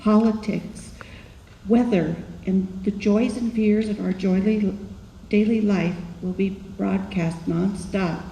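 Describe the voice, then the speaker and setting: A woman's voice: mid-range voice, steady tone, slow, mellow. A woman playing a radio newsreader, reading a news script. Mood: measured. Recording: echoey hall, faint noise.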